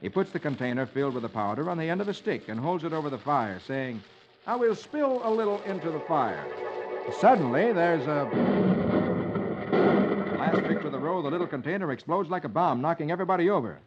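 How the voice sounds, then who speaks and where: Animated American man, vintage children's radio storyteller. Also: on the high side, fast, very noisy. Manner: theatrical, articulate. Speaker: children's radio host reading the funnies. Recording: muffled old radio recording, music behind.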